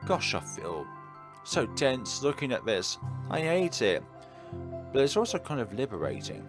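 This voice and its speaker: A man's voice: medium pitch, big pitch swings, a little slow, voice pushed up. A man voicing an earnest, self-doubting teenage schoolgirl club president in a visual novel, with a dramatic delivery. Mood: tense, modest. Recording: music behind the voice.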